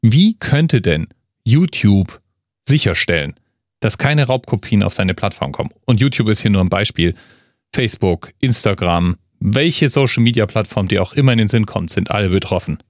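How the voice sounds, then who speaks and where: A man's voice: on the low side, lively intonation, moderate pace, dry recording, clean studio sound. A man giving conversational, emphatic opinion commentary straight to the listener, with rhetorical questions. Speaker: host of an online commentary.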